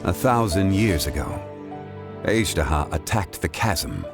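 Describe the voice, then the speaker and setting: A man voicing a calm, wise ancient god in a video game, speaking in a measured, formal way. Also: sits low, vocal fry, over music, exaggerated voice. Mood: solemn, reflective. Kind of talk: scripted video game dialogue.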